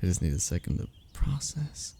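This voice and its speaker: A man acting a lovesick, anxious young man in an intimate romantic audio roleplay, with a halting, emotional delivery and breathy murmurs. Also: low-pitched, big pitch swings, dark tone, full character voice. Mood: relieved, playful, smitten. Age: young adult. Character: lovesick, anxious young man confessing to childhood best friend.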